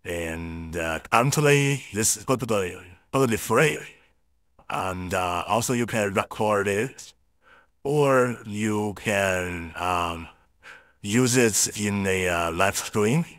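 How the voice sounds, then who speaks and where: Low, slow man through AI voice changer. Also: a bit bright, no room sound, studio quality. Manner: hesitant, halting delivery. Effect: AI real-time voice changer. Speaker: YouTuber. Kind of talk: casual software tutorial.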